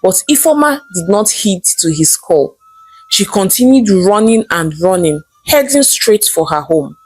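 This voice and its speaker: A woman with a Nigerian accent narrates a folktale in an expressive, dramatised storytelling style. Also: medium pitch, unhurried, breathy edge, no room sound, rough recording. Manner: expressive, acts out characters' dialogue.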